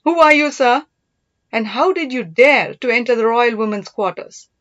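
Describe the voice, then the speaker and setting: A woman's voice: fairly high pitch, lively intonation, normal speed, a bit bright, no echo, highs cut off, pitched above the performer's own voice. A woman voicing a young, spirited princess and sister in an audiobook, questioning and exclaiming. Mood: indignant. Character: gentle young Pallava princess, glum yet spirited sister.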